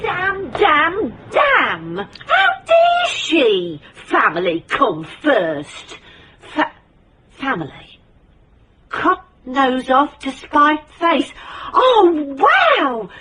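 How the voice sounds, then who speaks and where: A woman's voice: high-pitched, sing-song, very slow, sharp tone, exaggerated voice. An actress playing a touchy, bitter, sarcastic woman with an emphatic delivery in a radio drama. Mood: furious, vengeful. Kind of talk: theatrical radio drama acting.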